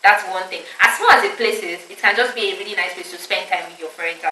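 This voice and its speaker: A woman's voice: mid-range voice, fast talker, pushed and bright, a little hiss or hum. A young woman from Nigeria, chatting casually as a vlog host, talkative with lots of fillers and frequent laughter. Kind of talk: casual chat. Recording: echoey room, mic at a distance.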